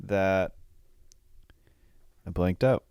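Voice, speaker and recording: A man co-hosting a podcast, chatting casually and thinking aloud in loose, halting sentences. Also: low voice, gravelly, darkish tone, smooth, no room sound, studio quality. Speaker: podcast co-host. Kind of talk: casual chat, film review.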